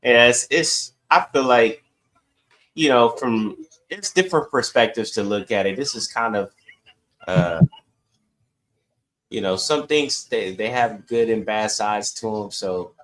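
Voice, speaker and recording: A man with a medium-pitched voice speaks as a co-host in a casual sports discussion. He is measured in how he puts things and a little hesitant as he goes. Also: fairly bright tone, poor audio.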